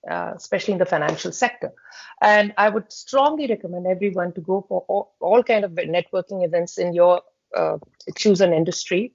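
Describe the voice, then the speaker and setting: A woman, an interview guest earnestly laying out job-search advice step by step, pausing often. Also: fairly low pitch, a little mellow, no room sound. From India. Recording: dry, muffled lo-fi audio.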